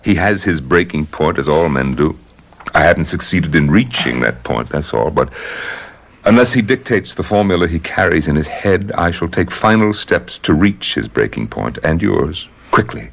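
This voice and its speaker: A man's voice: sits low, expressive, creaky, fairly bright tone, full character voice. An actor playing a cold, courteous, threatening captor who torments his prisoners, in old-time radio drama style. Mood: smoothly menacing. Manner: coolly courteous, deliberate, threatening. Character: cold, menacing male torturer demanding a secret formula.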